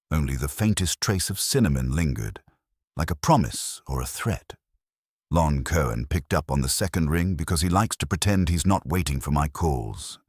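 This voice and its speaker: A man's voice: rumbling low, no echo, studio quality. A man narrating a first-person detective story as an audiobook reader.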